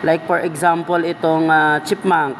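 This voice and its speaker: A man's voice: a little high, little pitch movement, unhurried, fairly bright tone, slight background noise. A man with a Filipino accent giving a casual step-by-step tutorial, talking informally as if to a buddy. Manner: informal, addresses viewer as a buddy. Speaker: video editing tutorial presenter.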